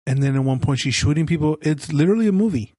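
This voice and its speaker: Hoarse man chatting on a podcast, dry sound. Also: on the high side, rapid-fire, mellow, dry recording. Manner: blunt, swears freely. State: losing his voice, coughing. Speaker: podcast co-host. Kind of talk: casual chat.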